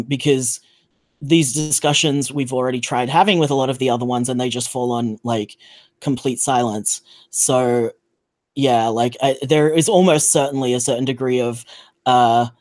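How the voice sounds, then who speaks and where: Mid-pitched voice, casual panel talk, informal, conciliatory, careful qualifying. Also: fairly even intonation, moderate pace, a little mellow. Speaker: podcast panelist.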